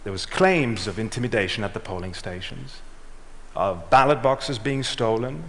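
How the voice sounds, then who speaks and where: A middle-aged man giving a prepared talk on stage, telling a measured story and letting his lines trail off. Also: on the low side, expressive, a bit bright. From Switzerland and Ghana. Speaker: speaker. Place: large auditorium. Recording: reverberant hall.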